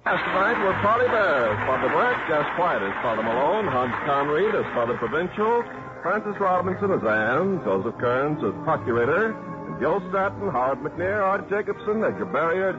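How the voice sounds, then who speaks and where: A man's voice: fairly high pitch, big pitch swings, moderate pace. A man announcing credits in the style of 1950s radio. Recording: muffled 1950s radio broadcast over music.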